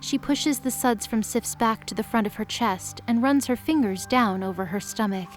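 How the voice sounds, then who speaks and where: A woman's voice: on the high side, normal speed, soft background music. A woman narrator reading scripted dramatic story narration in an audio drama.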